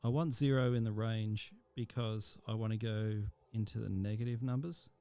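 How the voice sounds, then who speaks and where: A man giving an informal software tutorial as a teacher, explaining each step as he goes. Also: fairly low pitch, fairly even intonation, normal speed, smooth, soft background music.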